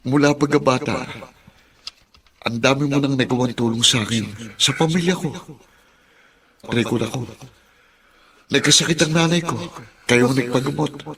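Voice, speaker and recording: A man's voice: mid-range voice, normal speed, long pauses, a crowd in the background, close to an everyday voice. A man playing a poor, loyal, self-sacrificing friend in a Filipino radio drama, speaking with earnest urgency. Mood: earnest resolve.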